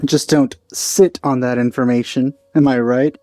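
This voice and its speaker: A woman's voice: very deep voice, fairly even intonation, a little slow, mellow, no room sound, soft background music. A woman streaming a game, tossing out casual, offhand muttered reactions as she plays. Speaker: let's-play streamer.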